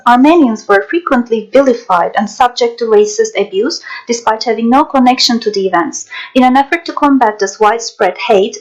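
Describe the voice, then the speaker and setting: A woman narrator and interpreter reading scripted documentary voice-over in a measured, formal way. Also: a little high, low-quality recording.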